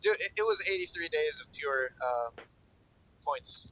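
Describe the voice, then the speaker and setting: A young man hosting a gaming roleplay voice chat, animated and swearing freely. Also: a little high, unhurried, a little hiss or hum. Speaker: roleplay server host. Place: online voice call. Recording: lo-fi voice chat audio.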